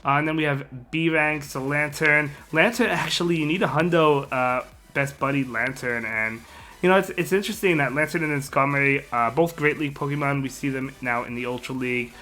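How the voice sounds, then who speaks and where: A sick man with a hoarse voice hosting a casual game strategy video, rambling with lots of fillers and repeated words. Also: on the high side, brisk, bright, forceful tone. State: sick, partly lost voice. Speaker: gaming channel host.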